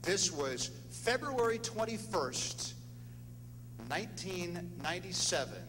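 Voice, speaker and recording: A man's voice: high-pitched, sing-song, slow pace. Guy giving a casual speech at some awards thing, big echoey room w/ humming noise. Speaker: ceremony presenter; former radio sports announcer. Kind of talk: informal speech to an audience. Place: large room at a banquet event. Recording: noisy echoing hall with hum.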